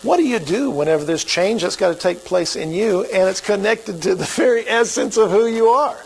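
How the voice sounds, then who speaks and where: A man's voice: high voice, animated, normal speed, gravelly, slightly rough, rough recording. A man with an Oklahoma accent preaching a sermon as pastor, in a folksy, conversational way.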